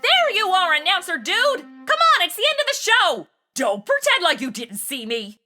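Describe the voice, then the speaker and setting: A woman's voice: very high-pitched, big pitch swings, normal speed, sharp tone, soft background music, heavily acted. An actress playing a perky, naive, melodramatic modern young woman in a comedy audio drama, exclamatory, flippant and full of slang. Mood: indignant, playful.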